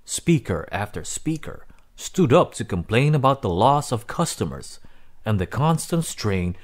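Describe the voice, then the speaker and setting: A man reading a children's storybook aloud as narrator, in an expressive, dramatic way. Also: mid-range voice, unhurried. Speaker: read-aloud host.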